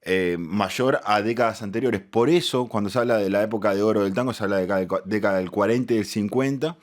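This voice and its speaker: Guy, musician being interviewed, lower voice, explains stuff in formal measured words. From Argentina.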